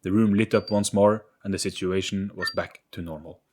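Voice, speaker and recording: Low-voiced man narrating a spooky story. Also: fairly even intonation, normal speed, a little mellow, slightly rough. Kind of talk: scripted dramatic story narration.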